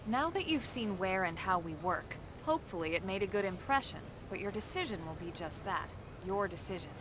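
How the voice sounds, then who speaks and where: An actress playing a composed, level-headed female soldier and mentor in a video game, measured, matter-of-fact and gently reproving. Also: mid-range voice, expressive, noisy background, close to an everyday voice. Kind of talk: video game cutscene acting.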